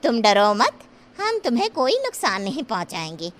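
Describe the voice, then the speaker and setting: A woman's voice: on the high side, huge pitch swings, normal speed, noisy background. A woman voicing a kind adult kinnar villager in a Hindi cartoon, with a caring, soothing manner. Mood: comforting.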